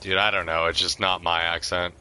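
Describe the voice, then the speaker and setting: Male game streamer, low voice, informal chat, noticeable background noise. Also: level tone, a little fast, sharp tone. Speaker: live streamer and roleplayer. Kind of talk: casual out-of-character stream chat.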